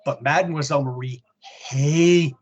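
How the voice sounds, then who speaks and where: A middle-aged American man co-hosting a podcast, chatting casually in a halting, wry way. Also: on the high side, muffled recording. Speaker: podcast co-host. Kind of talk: casual chat about old comics.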